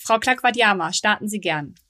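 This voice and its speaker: A woman's voice: medium pitch, animated, normal speed, sharp tone. A woman hosting a podcast interview, reading friendly, scripted introductions and asking questions. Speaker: podcast host.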